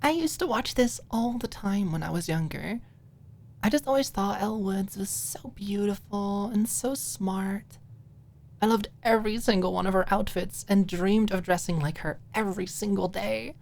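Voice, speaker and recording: A woman's voice: medium pitch, moderate pace, gravelly, a little mellow, voice pushed up. A woman voicing a dorky, playful young woman in an audiobook, proudly passionate. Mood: proud, wistful. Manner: mumbles and recites movie lines under her breath. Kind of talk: audiobook character acting.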